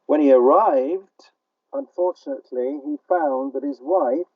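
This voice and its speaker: A man's voice: a little high, measured pace, dry recording. An older man with a British accent answering interview questions conversationally as a playwright, a little hesitant, over a remote call line. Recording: dull, band-limited call line.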